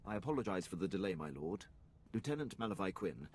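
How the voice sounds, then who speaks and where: Game casting: stern, deferential-to-rank military officer, deep voice, lively inflection, authoritative. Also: male voice, quick pace, a little hiss or hum. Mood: formal, deferential. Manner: formal, clipped, authoritative. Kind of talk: cinematic video game voice acting.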